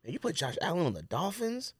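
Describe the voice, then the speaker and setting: Animated man in casual, slangy sports chat. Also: fairly high pitch, fast, slightly rough, no echo. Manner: informal, slangy, conversational. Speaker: podcast co-host.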